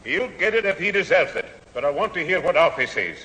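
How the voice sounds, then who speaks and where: A man playing a stern, suspicious old grandfather, gruff and scolding in a 1970s radio sitcom. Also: a little high, sing-song, a little fast, pushed and bright, roomy sound, rough recording. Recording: old radio recording, faint hiss.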